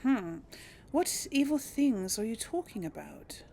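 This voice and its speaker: A woman's voice: fairly high pitch, sing-song, a little slow, creaky, warm tone. A woman hosting a casual role-play Let's Play, chatting and thinking aloud as she plays. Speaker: gaming host. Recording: faint noise, game ambience behind.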